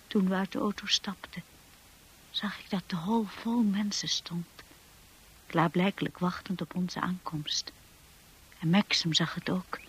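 An actress playing a timid, anxious young bride in a radio play, narrating and speaking in a hushed, hesitant way. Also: female voice, on the low side, fairly even intonation, a little slow, long pauses, heavily acted. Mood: uneasy, reflective. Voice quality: breathy, husky.

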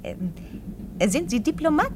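A woman's voice: sits high, sing-song, a little slow, noisy background. A woman playing a pretty young spy in a German radio play, blithely matter-of-fact and ambitious. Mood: matter-of-fact, curious. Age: young adult.

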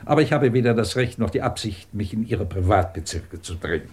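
A man's voice: lowish voice, lively intonation, brisk, a little mellow, close to an everyday voice. A man acting a middle-aged, imperious and sardonic British professor who runs a research department, in a commanding 1950s German radio drama style. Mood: probing, intense. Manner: commanding, clipped, probing, sardonic.

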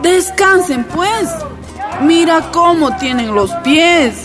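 Very high-voiced, pitying Bolivian village woman. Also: lively intonation, slow, exaggerated voice. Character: compassionate Bolivian village woman tending marchers. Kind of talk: radio drama acting. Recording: music and crowd behind.

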